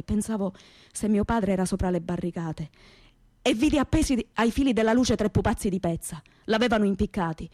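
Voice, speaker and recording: A woman's voice: mid-range voice, darkish tone, no echo, close to an everyday voice. An actress playing a devout young working-class woman with a Calabrian Italian accent, telling her story earnestly and emotively in a radio theatre monologue. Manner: emotive storytelling in plain, dialect-tinged popular speech.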